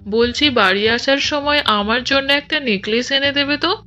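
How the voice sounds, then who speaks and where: A woman's voice: high-pitched, moderate pace, bright, forceful tone, highs cut off, soft background music. A woman voicing a demanding shopaholic cartoon wife. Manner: insistent, wheedling. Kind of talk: cartoon voice acting.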